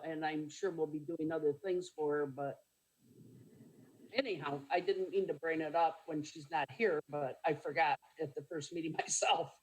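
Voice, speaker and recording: Soft-spoken woman council member. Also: low-pitched, rough recording. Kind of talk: council meeting remarks.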